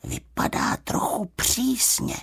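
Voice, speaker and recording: A small, well-mannered bear from a children's story, voiced by a narrator. He speaks high and carefully, earnest and a little timid, with courteous hesitations. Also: steady tone, vocal fry, close to an everyday voice. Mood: nervous.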